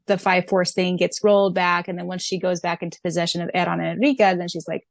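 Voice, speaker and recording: A woman's voice: lowish voice, level tone, quick pace, gravelly, no room sound. A young adult woman co-hosting a podcast, talking casually in a fan discussion. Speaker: podcast co-host and audiobook narrator.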